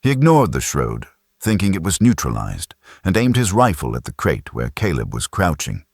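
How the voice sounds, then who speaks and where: Extremely deep, husky male narrator. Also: wildly swooping pitch, moderate pace, darkish tone, no echo, studio quality. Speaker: audiobook narrator. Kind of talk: dramatic scripted narration.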